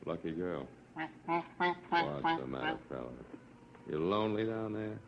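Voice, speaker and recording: An actor playing a wry, stubborn, easygoing captive in an old radio drama, with a sardonic, wisecracking delivery. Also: male voice, lowish voice, wildly swooping pitch, slow pace, gravelly, a little hiss or hum, full character voice. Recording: dull lo-fi old radio recording.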